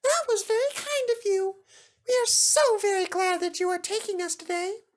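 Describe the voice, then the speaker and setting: Man doing very high, squeaky, polite railway coaches. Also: steady tone, normal speed. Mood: grateful.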